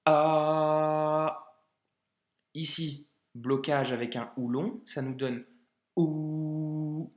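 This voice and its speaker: French male tutor, slightly high-pitched, relaxed and encouraging, recorded with room echo. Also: very slow, long pauses, highs cut off. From France. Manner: casual, reassuring, frequent "hein" and "d'accord". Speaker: Arabic reading teacher. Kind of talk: friendly tutorial speaking directly to the learner.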